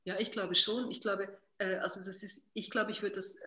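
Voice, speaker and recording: A woman from Switzerland with a Swiss accent, talking reflectively as an artist in an interview, haltingly searching for words and repeating phrases. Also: mid-range voice, level tone, bright, forceful tone. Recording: roomy, echoing sound.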